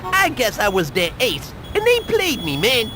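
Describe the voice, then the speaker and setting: An actor playing a jittery streetwise informant in a video game, slangy and excitable, over noisy street ambience. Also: male voice, extremely high pitch, measured pace, music in the background, full character voice. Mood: indignant. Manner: slangy, excitable, repeats verbal tags. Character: jittery, jive-talking streetwise male informant.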